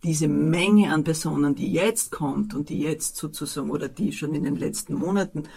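A man with an Austrian accent, a city social services official, answering in a halting way with many fillers. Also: fairly high pitch, gravelly, a little mellow, husky. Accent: Austrian German. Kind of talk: radio interview answers.

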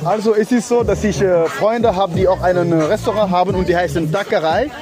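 High-voiced man. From Mauritania, raised in Senegal. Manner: halting, searching for words with frequent fillers. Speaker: event host and organizer. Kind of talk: casual interview answers. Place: outdoors at a city event. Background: loud music.

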